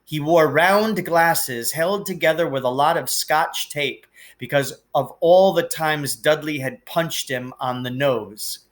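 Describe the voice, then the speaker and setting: A man narrating a children's novel aloud in an expressive, deliberate way with emphatic phrasing. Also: fairly high pitch. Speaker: teacher reading aloud.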